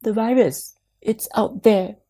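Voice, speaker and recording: A woman voicing a timid, stubborn eight-year-old boy in a soft, reedy voice, as in a storybook reading. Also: a little high, slow, voice pushed up. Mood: fearful.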